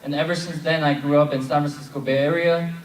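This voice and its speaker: A man's voice: fairly high pitch, fairly even intonation, fast talker. A young man in his twenties, from South Korea, moderating a convention plenary and leading chants from the stage. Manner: leads call-and-response chants. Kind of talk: convention speech. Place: large convention hall with audience. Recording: echoey hall, distant mic, crowd noise.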